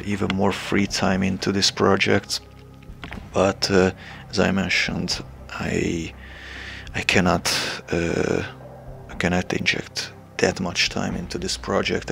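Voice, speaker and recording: A young man from Hungary with a Hungarian accent, making a hesitant, casual announcement to his channel's viewers, pausing often with fillers. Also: low-pitched, creaky, fairly bright tone. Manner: hesitant, many filler pauses. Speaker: channel creator.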